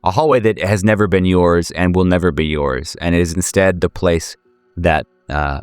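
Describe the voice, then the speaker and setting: A man speaking as game master, improvising horror narration and casual chat in a halting way, often rephrasing himself. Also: low-pitched, smooth, no room sound, soft background music.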